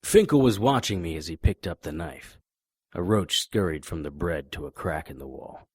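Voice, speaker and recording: A low-voiced, wry hardboiled detective. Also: male voice, moderate pace, slightly rough, dry recording, clean studio sound. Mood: deadpan, tense. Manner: deadpan, wisecracking. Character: wry, hardboiled male private investigator. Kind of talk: noir audio drama narration and dialogue.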